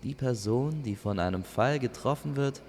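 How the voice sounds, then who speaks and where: A man's voice: medium pitch, animated, normal speed, a little mellow, faint music behind, pitched above the performer's own voice, close to an everyday voice. A man voicing a friendly archer spirit of love, courteous and earnest.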